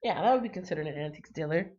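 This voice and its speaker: A woman in a casual live chat talks with her viewers in a low, chatty voice full of ums. She sounds a little stuffy and congested. The recording is dull and lo-fi. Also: lively intonation, very fast, a little mellow. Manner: chatty, reads out viewer comments, frequent ums. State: slightly congested. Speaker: livestream host.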